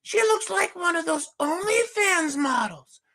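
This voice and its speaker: A man voicing a gushing woman host in falsetto on a comedic livestream, starting almost every line with "well". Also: expressive, unhurried, gravelly, pushed and bright, heavily acted. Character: shrill fake woman hostess, gushing. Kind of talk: comedic livestream character bit.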